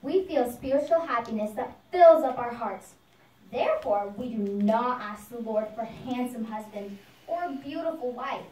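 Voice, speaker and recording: Lady as a saintly little boy preaching in a puppet show, earnest, highish voice, echoey room. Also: animated, normal speed, darkish tone, close to an everyday voice. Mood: earnest devotion. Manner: earnest, simple, instructive. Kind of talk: puppet show storytelling, sermon-like. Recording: echoey room, distant microphone.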